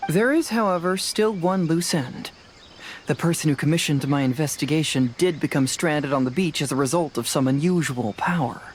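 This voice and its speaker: An actor playing a composed young detective in a video game, explaining things in a measured, articulate, confident way. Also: male voice, a little high, slight background noise, natural voice. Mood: analytical, assured.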